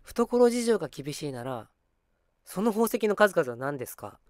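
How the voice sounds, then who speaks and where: A man's voice: sits high, very animated, normal speed, a little mellow, no room sound, clean studio sound, pitched above the performer's own voice. A man voicing a cheeky, outspoken schoolgirl in a comic radio drama, blunt and playful in manner. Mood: cheeky, accusing.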